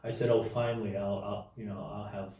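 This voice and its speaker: A man telling his life story as an interview guest in a casual, halting way, with many restarts and fillers. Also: lowish voice, level tone, dark tone, heavy reverb, distant mic.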